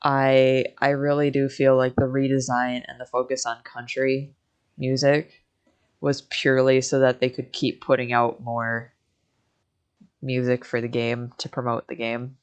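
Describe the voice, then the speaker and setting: A woman with a strikingly low voice gives casual game-stream commentary. She is candid and opinionated, talking as she would in an informal chat. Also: flat delivery, measured pace, clear, resonant tone, dull audio.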